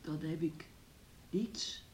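An actress playing a sick, trapped but defiant young woman in a radio play, speaking haltingly and in protest. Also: deep voice, background noise. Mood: weary, faint. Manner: halting, repeats words, protesting. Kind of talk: Dutch radio play acting.

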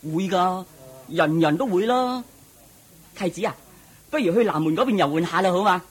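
A man's voice: high voice, animated, normal speed, husky. A man acting a prince's loyal chariot driver in a Cantonese radio drama, deferential and explaining things plainly. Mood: patient explaining.

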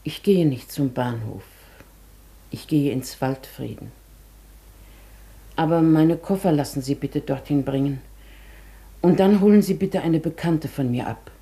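Low-voiced, creaky, dignified lady. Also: mellow. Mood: resolute, calm. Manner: measured, formal, composed. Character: dignified, wistful, resigned lady hotel guest. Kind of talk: German radio play acting.

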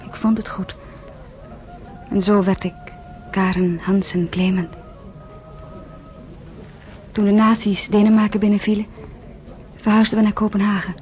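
A woman's voice: medium pitch, flat delivery, a little slow, pauses often, darkish tone, soft background music, close to an everyday voice. A woman playing an earnest teenage girl. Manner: earnest, hesitant, stumbling over words. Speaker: radio drama actress. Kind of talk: radio play narration and acting.

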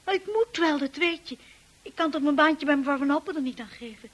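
A woman's voice: high voice, lively intonation, brisk. An actress playing a shy, earnest young lady's companion in a Dutch radio drama, hesitant and emotional in delivery. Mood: downcast.

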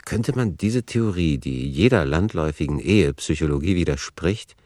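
An actor playing an analytical author who reasons soberly through working notes, as scripted commentary in a radio play. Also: male voice, very deep voice, animated, normal speed, a little mellow, no echo, studio quality. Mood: sober, deliberating. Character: analytical male author reasoning aloud over his novel plot.

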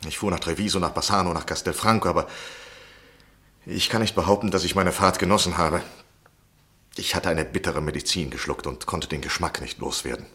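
Deep-voiced, anxious gentleman. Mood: rueful. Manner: deliberate, literary, confessional. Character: anxious, insistent gentleman journalist recounting his obsession. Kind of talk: 1960s German radio play acting and narration.

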